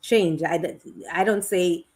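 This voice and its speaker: Middle-aged Kenyan-accented woman, lowish voice, unhurried thoughtful guest on a video call. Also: darkish tone. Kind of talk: conversational interview.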